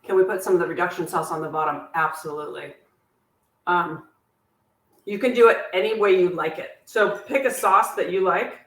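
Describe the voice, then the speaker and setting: A woman with a slightly squeaky voice hosts a live cooking class, answering viewers' questions in a chatty way with self-deprecating asides, while a sore back bothers her. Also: moderate pace. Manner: chatty, warm-hearted asides, laughs at herself. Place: home kitchen. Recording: echoey kitchen, voice from a distance. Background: clinking cutlery and dishes.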